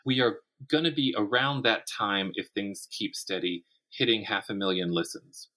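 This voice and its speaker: A man with a deep voice that still carries a bright, forward edge. He talks casually as a podcast guest, working out his thoughts aloud and often rephrasing them mid-sentence. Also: normal speed. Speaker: podcast guest, writer and teacher. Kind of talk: casual conversational interview.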